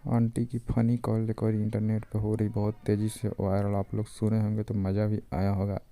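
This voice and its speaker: A man's voice: fairly low pitch, completely flat delivery, moderate pace, dark tone. A man reading a channel outro announcement as a narrator.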